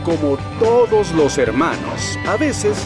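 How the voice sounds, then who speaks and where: Guy telling a kids cartoon story, higher voice, swinging tone, slow. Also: big pitch swings, loud music behind the voice. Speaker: narrator.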